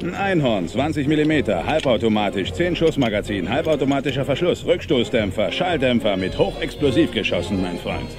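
Casting: actor as boastful gunman, male, lively intonation, loud music behind. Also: mid-range voice, brisk, few pauses, natural voice. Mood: proud, clipped. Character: cocky male gun-for-hire showing off weapons. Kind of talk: dubbed film dialogue. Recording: loud music with mechanical clicks.

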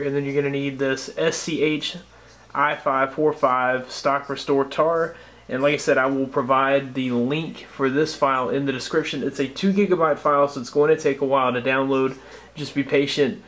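A man's voice: mid-range voice, fairly even intonation, moderate pace, fairly bright tone. An American man narrating a casual step-by-step tutorial as a tech host, explaining things informally.

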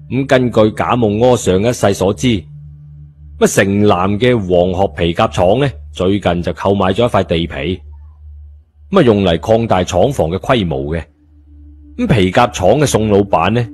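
Low-pitched Cantonese male storyteller. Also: a little mellow. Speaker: audiobook narrator. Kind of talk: colloquial storytelling narration. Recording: background music under the voice.

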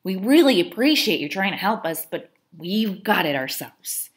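A woman's voice: mid-range voice, sing-song, moderate pace. A woman voicing a cool, dismissive zodiac creature in a cartoon, curt and dry. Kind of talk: cartoon voice acting.

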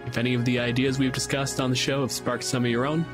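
Male gaming podcaster, mid-pitched voice. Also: level tone, fast talker, over music. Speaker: podcast co-host. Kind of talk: casual chat about tabletop games.

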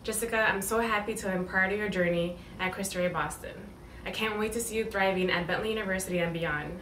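A woman's voice: medium pitch, fairly even intonation, quick pace, fairly bright tone. A woman staff member giving a sincere video message recorded indoors. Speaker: school staff. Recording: echoey, distant from the mic, faint noise.

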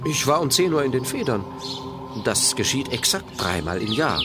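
An actor playing an affable, evasive young student in a German radio play, wordy and mock-dramatic. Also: male voice, mid-range voice, big pitch swings, normal speed, music in the background, natural voice. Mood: breezy, evasive. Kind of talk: German audio drama acting.

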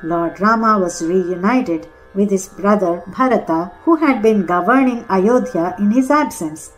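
Woman telling a story for an audiobook, medium pitch, soft indian classical music behind. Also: a little slow, rough recording. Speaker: audiobook narrator. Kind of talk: scripted storytelling narration. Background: faint Indian classical music.

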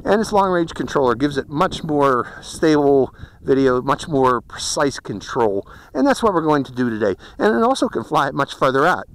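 A man's voice: medium pitch, sing-song, moderate pace, poor audio. A middle-aged man with a Pittsburgh accent, giving a chatty, step-by-step product review in a casual hands-on style outdoors. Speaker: drone reviewer and channel host. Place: outdoors in a windy desert canyon. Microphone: body-worn hat camera. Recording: outdoor action-camera audio with noise.

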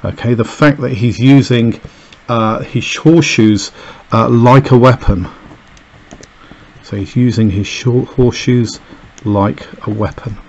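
A man's voice: mid-range voice, measured pace, darkish tone, highs cut off. A man teaching a recorded lesson, explaining an extract with many fillers and "okay"s as he annotates it. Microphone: voice-over over a camera filming a page on a desk.

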